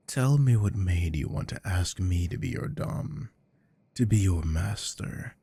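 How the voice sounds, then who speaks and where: Man acting as possessive male boss, dark mellow tone, unhurried delivery, commanding, teasing, gravelly, intimate ASMR roleplay. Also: exaggerated voice. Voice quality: deep, gravelly. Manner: commanding, coaxing, low murmurs slipping into whispers.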